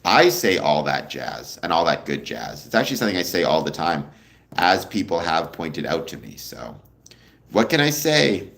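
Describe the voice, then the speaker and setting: A young man hosting a casual livestream, answering viewer comments in a relaxed, rambling way with a deep voice. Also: normal speed, gravelly. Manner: relaxed, rambling, many ums, answers chat questions. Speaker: livestream host.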